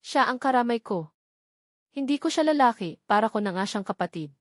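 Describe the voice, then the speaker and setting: A woman's voice: fairly high pitch, animated, brisk, no room sound, clean studio sound, natural voice. A woman with a Filipino accent voicing an anguished, stubborn young mother in a novel reading. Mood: defensive, annoyed. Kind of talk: dramatized novel dialogue.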